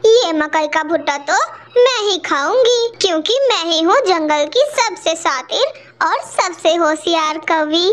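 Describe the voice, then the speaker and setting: Woman voicing a very high-pitched sly cartoon crow. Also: expressive, measured pace, a bit bright, exaggerated voice. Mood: gloating. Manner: brash, cunning. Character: sly, greedy, boastful female crow thief. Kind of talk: Hindi children's cartoon dialogue.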